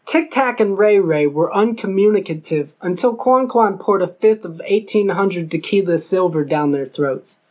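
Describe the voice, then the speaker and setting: A man's voice: high voice, animated, normal speed, hardly any pauses, a little mellow. Male audiobook narrator for scripted fiction, with a muffled, lo-fi recording. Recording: dull lo-fi recording.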